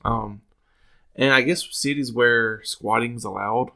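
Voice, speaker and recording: A man's voice: mid-range voice, fairly even intonation, slow pace, creaky, sharp tone. A man co-hosting a podcast in a laid-back, rambling, colloquial chat. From small town in the US. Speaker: podcast co-host. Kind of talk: casual chat.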